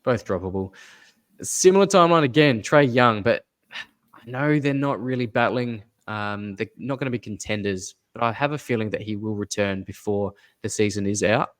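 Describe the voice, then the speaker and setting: Low-voiced man analyzing fantasy basketball. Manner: measured, repeats advice, thinks aloud with fillers. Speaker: fantasy basketball podcast co-host. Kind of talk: casual podcast analysis.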